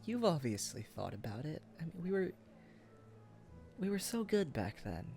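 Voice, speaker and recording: A man playing a tipsy, earnest young man wooing his old sweetheart in a boyfriend roleplay, speaking casually and haltingly. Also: a little high, wildly swooping pitch, gravelly, warm tone, faint music behind, heavily acted. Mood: tender, pleading. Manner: halting, tender, casual, often chuckling. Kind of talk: boyfriend ASMR roleplay acting.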